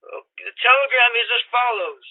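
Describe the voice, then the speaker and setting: A tinny phone voice, strikingly high, of a courteous telegram clerk played by a man. He is formal and officious, reading out in the manner of 1940s radio drama. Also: moderate pace. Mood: businesslike, courteous. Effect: telephone filter. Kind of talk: 1940s-style radio play acting. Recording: tinny, narrow telephone line.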